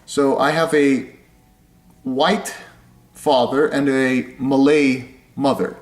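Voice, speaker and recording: Slow, deliberate young man in an echoey room. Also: mid-range voice, fairly even intonation. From Indonesia. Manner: slow, deliberate, repeats and corrects himself. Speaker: vlogger. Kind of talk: casual explanatory vlog.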